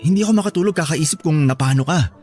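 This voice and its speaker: A man plays a guilt-ridden middle-aged Filipino father in a radio drama. His fairly high voice is earnest and imploring, with music playing behind it. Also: racing, dark tone, lightly acted. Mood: guilty, pleading.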